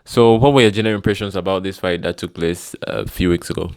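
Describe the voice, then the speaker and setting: A man with a Cameroonian accent hosts a casual talk show in a deep voice. His sound is smooth and very clear. The recording is dry, with almost no room around the voice. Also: quick pace, darkish tone, dry recording. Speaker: host, interviewer.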